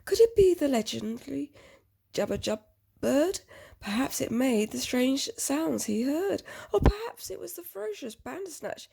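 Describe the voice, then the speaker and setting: A woman with a husky voice reading a picture book aloud to a child, expressively, with chatty questioning asides. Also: high voice, sing-song, normal speed, mellow.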